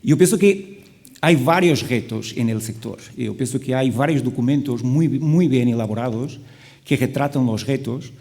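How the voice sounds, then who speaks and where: A Portuguese man speaking Spanish with a Portuguese accent as a panelist, halting with many restarts. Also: medium pitch, mellow. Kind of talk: panel discussion.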